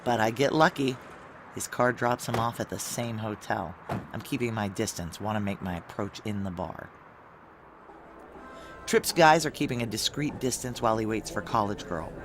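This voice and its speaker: An actor playing a determined, tense security chief, narrating a noir audio drama in a clipped, urgent, hard-boiled manner. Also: male voice, lowish voice, lively intonation, normal speed, background noise. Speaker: narrator and lead character.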